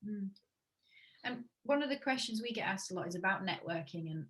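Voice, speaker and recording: A woman's voice: lowish voice, fairly bright tone, rough recording. A woman hosting a casual interview, giving brief affirmations and asking open questions. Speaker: interview host.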